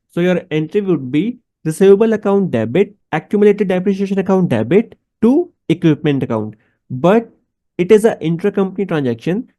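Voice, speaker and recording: A man's voice: on the high side, dark tone. A man with an Indian accent giving a step-by-step explanatory tutorial, talking directly to the listener and posing questions. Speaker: accounting instructor.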